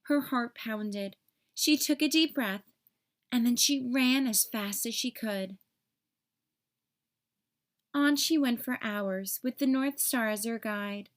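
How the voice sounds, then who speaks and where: A woman reading a children's story aloud in an expressive storytelling style. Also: fairly high pitch. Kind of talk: expressive scripted story narration.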